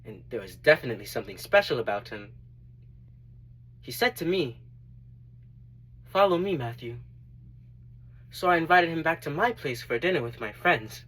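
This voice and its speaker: A man performing a dramatic monologue as a repentant tax collector turned devoted disciple, earnest and reflective, with deliberate emphatic swells. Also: on the high side, expressive, a bit bright, voice pushed up, low-quality recording. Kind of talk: dramatic monologue, first-person testimony.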